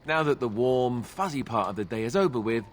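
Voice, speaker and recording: An actor playing a dry, sardonic male companion in a fantasy video game, with deadpan sarcasm. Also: mid-range voice, fairly quick, natural voice. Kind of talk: video game dialogue acting.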